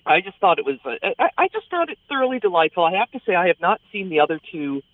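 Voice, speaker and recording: A woman's voice: fairly low pitch, big pitch swings, fast talker, no echo. Female theater critic, conversational and keen, with narrow telephone-style audio and no room sound. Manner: chatty, enthusiastic. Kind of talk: conversational radio review. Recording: thin phone-like sound, dry.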